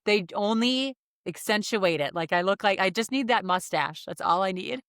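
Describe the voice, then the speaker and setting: A woman hosting a podcast, chatty and quick to laugh. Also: medium pitch, animated, a bit bright, dry recording, clean studio sound. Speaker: podcast host.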